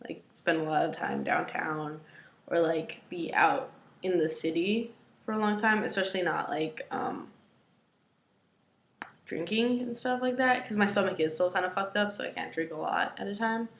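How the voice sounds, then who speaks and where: A young adult woman with a raspy voice, talking casually to camera in a hesitant, rambling way at home, with many fillers and moments that drop to a near whisper. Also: fairly low pitch, normal speed, fairly bright tone. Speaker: vlogger. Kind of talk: casual personal video diary. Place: at home, indoors. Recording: roomy, echoey room.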